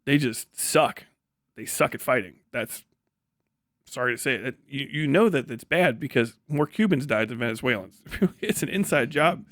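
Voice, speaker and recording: Casting: male streamer, mid-pitched, blunt and sarcastic, swears freely, casual commentary, close dry sound. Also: lively intonation, normal speed, fairly bright tone, dry recording. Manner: profane, blunt; sarcastic, acts out mock back-and-forth dialogues. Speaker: livestream host and commentator. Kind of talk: casual political commentary, riffing to live chat.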